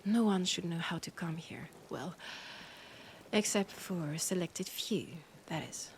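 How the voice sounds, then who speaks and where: A woman with a French accent playing an annoyed, condescending vampire scholar in a roleplay audio drama, often dropping into breathy whispers. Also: lowish voice, a little slow, a little hiss or hum. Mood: haughty, dismissive. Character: annoyed, haughty female vampire scholar, ancient and hungry.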